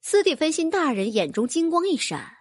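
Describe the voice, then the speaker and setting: A woman narrating a fantasy audiobook in a lively, expressive way. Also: high voice, moderate pace, dry recording, studio quality. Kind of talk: scripted fantasy novel narration.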